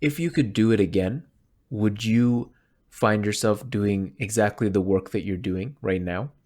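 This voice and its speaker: A male podcast host asks his guest questions in a relaxed, conversational tone. His voice is very low. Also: unhurried. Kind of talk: casual interview questions.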